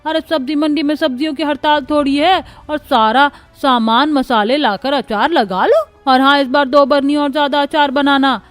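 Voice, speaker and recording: A domineering old grandmother from a family cartoon, played by a woman, high in pitch and quick to scold. She orders the household about with exclamations and a greedy appetite, over background music. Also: fairly even intonation, no echo, natural voice. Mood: indignant. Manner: commanding, exclamatory rustic scolding. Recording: music bed under the voice.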